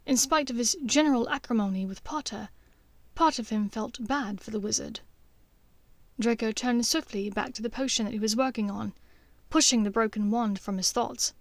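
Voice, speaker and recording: High-voiced woman narrating a story. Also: moderate pace, no echo. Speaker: audiobook narrator and fan fiction author.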